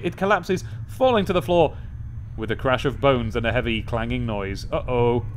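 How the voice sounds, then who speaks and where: A young man giving a gaming commentary, thinking aloud and reading game text in a playfully expressive way, close to the mic. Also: medium pitch, a little hiss or hum. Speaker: let's play host. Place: small indoor room at a desk. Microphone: close studio mic on an arm. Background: game music.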